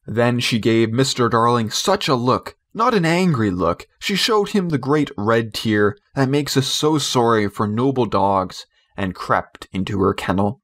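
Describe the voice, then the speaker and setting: A man reading scripted literary storybook narration in a measured, expressive way. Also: medium pitch. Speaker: audiobook narrator.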